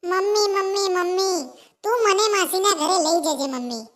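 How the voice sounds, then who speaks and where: A young cartoon sparrow chick, squeaking at an extremely high pitch. It is eager and caring, with an insistent, repetitive, pleading way of talking suited to a children's moral story. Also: animated, normal speed, a bit bright. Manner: pleading, insistent, repeats itself. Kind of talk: animated children's story dialogue.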